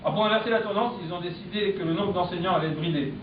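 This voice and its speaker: A man doing stand-up, reading mock news headlines with punchy deadpan punchlines in a live club. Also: high-pitched, rapid-fire. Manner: punchy deadpan joke delivery. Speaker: comedian. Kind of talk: stand-up comic press review. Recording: echoey, distant, dull live-club recording with noise.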